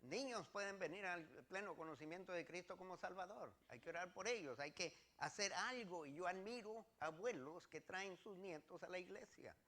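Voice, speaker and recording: A male pastor with a Mexican accent and a fairly high voice delivers a sermon. He teaches from scripture step by step, leaning on repetition for emphasis. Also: normal speed, hardly any pauses, pushed and bright. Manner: emphatic, repeats phrases, explains step by step.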